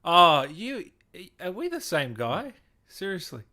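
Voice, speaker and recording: A man's voice: high-pitched, huge pitch swings, slow, crowd noise. A man hosting a casual interview, chipping in enthusiastically with short reactions and questions. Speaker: interviewer, host.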